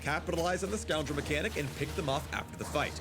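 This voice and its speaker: A man's voice: a little high, fast talker. A man reading scripted promotional narration for a game video in a punchy, theatrical announcer style. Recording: narration over music. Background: music.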